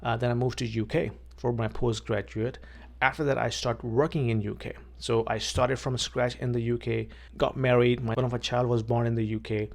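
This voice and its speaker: Man from Pakistan, gravelly voice, casual talk to camera, repeats words, says okay a lot. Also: mid-range voice, level tone, moderate pace. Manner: plainspoken, repeats words, frequent "okay" and "right". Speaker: vlogger and realtor. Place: outdoors.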